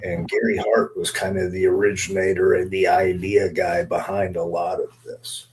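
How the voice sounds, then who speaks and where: A man's voice: low voice, little pitch movement, moderate pace, slight background noise, rough recording. A man talking on a podcast, giving opinions in an earnest, emphatic way and repeating points for weight. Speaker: wrestler and panelist.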